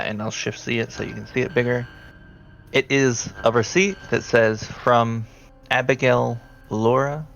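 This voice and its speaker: A man running a tabletop horror game as game master, talking in a halting, conversational way. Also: medium pitch, gravelly, fairly bright tone, dull audio.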